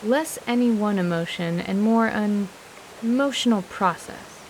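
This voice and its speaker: Measured woman narrator, faint hiss behind. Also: medium pitch, poor audio. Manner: measured, literary storytelling. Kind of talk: scripted fantasy narration.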